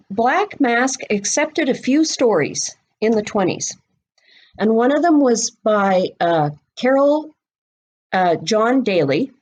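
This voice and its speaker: A middle-aged woman speaking as a guest expert on a podcast, explaining things informally and deliberately, with pauses between phrases. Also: fairly high pitch. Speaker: guest expert on mystery fiction, bookseller and writer.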